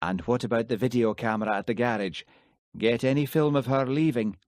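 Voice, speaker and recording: A man playing a shrewd, easygoing Highland constable, dry and understated. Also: fairly quick, a little mellow, no room sound, close to an everyday voice.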